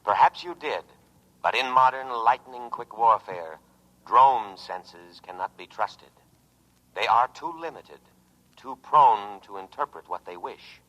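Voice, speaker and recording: Male war-machine computer, low pitch, pompous measured delivery, paranoid calm, old muffled radio drama sound. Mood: coolly suspicious. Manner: solemn, measured, grandiose oratory. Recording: muffled vintage radio broadcast recording.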